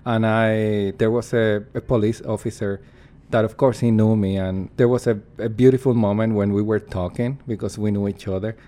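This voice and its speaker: Casting: man, lowish voice, earnest and reflective, pauses to find words. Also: dark tone. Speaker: performance artist. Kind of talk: interview answers.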